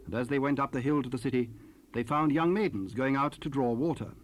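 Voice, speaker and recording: Formal male scripture narrator, faint hiss. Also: medium pitch. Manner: formal, measured. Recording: hissy 1970s cassette rip.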